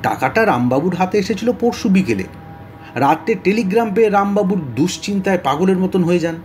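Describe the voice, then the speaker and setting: An actor playing a talkative, excitable middle-aged police detective in an audio drama, blustery, often stammering and repeating words. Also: male voice, sits high, brisk, soft background music. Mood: grave, explanatory. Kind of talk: Bengali audio story acting.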